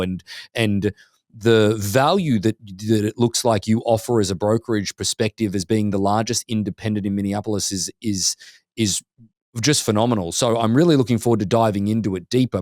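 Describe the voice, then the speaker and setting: A man hosting a podcast interview, eager and quick, often repeating words as he starts a sentence. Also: on the low side, a little mellow, no echo, clean studio sound. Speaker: podcast host.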